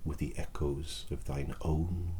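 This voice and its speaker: A scheming, wretched old court alchemist, as a man acts him out with a Welsh lilt, his voice sunk extremely deep. He talks slowly and softly in an archaic hush that drops to a whisper at times, in an ASMR roleplay. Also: steady tone, noisy background. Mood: quietly menacing. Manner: archaic thee-and-thou speech, soft and hushed, sometimes whispered.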